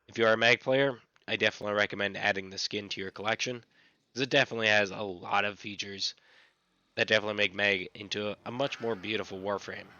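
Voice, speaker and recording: A man with a scratchy voice, casually explaining how he plays a game character, with relaxed, repetitive phrasing. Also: fairly low pitch, fast talker, no room sound, highs cut off. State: recovering from illness. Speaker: gaming channel host. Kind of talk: casual gameplay tutorial.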